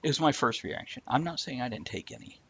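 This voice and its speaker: A man's voice: medium pitch, expressive, fast talker, fairly bright tone. Male live-stream host, irreverent and casual, mid-range voice, slightly dull noisy audio. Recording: muffled, with clear background noise.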